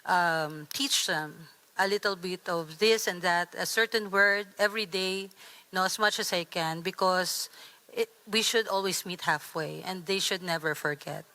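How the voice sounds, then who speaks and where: A woman's voice: on the low side, measured pace, sharp tone, low-quality recording. A woman with a Filipino accent speaking reflectively and earnestly as a panelist. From Philippines. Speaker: writer on a discussion panel.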